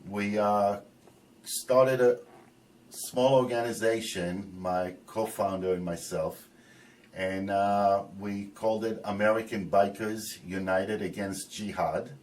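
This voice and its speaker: A man from New Jersey answering interview questions, halting with many fillers and restarts. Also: lowish voice, fairly even intonation, darkish tone, far from the mic. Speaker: interviewee, biker group co-founder.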